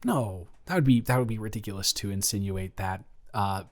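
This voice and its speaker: A man's voice: on the low side, normal speed, close to an everyday voice. A man playing a nervous, lovestruck young man confessing to his best friend in an improvised romantic audio roleplay, stammering and rambling as he goes. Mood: flustered, defensive.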